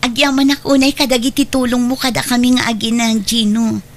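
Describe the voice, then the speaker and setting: A woman playing a deferential young mother with a soft-spoken, accommodating manner and an Ilocano accent, in an audio drama. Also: a little high, little pitch movement, a little fast, lightly acted. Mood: grateful. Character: grateful, deferential young mother and live-in girlfriend.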